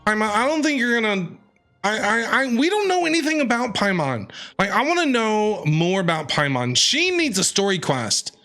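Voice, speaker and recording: A man casually narrating his own game playthrough, reacting out loud as he goes. His voice is bright and swings widely in pitch. Faint game music plays underneath. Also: normal speed. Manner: animated, chatty, reacting aloud. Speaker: game streamer. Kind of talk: casual let's-play commentary.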